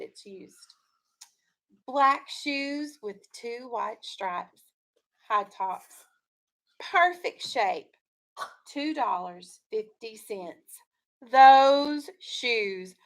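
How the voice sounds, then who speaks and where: Higher-voiced woman teacher reading aloud. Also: fairly bright tone. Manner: instructive, prompts listeners with leading questions. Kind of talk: read-aloud storytelling with questions to pupils.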